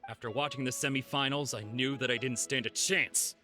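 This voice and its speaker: A man voicing a boastful, excitable thief in an anime game, dramatic and easily exasperated. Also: medium pitch, brisk, lightly acted. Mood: grudging confession. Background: background music.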